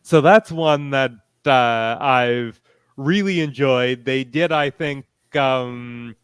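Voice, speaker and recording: Clear-voiced man, explaining haltingly. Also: mid-range voice, no echo, clean studio sound. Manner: hesitant, explanatory, pausing often to find words. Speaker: fan panelist. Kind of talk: casual panel discussion.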